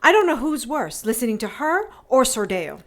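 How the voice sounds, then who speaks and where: A woman's voice: a little high, sing-song, moderate pace. An actress playing a sardonic captive witch who teases and schemes, in an audio drama. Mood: wry. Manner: wry, teasing, sarcastic.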